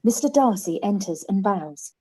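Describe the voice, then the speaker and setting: A woman's voice: mid-range voice, expressive, moderate pace, mellow, slightly rough. A woman reading scripted stage directions as the narrator of a radio play.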